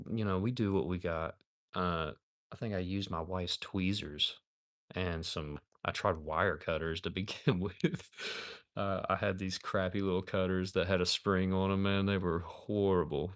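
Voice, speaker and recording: Deep-voiced laid-back man in his thirties. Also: dry recording, studio quality. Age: 30s. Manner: laid-back and rambling, calls viewers dude and man. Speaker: model-kit builder streaming live. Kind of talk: casual live-stream chat while building.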